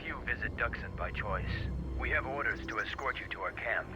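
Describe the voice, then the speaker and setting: An actor playing a tough, gruff, intimidating warrior guard in a video game. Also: male voice, lowish voice, brisk, natural voice. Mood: threatening. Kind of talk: video game character dialogue. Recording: dull band-limited audio, loud music behind.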